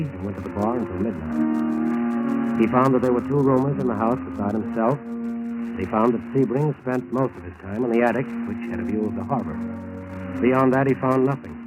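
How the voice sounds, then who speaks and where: Over prominent music in an old radio recording, an actor plays an authoritative American male narrator of a crime drama. He speaks with clipped, deliberate emphasis at a middling pitch, in 1940s radio style. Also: natural voice, poor audio.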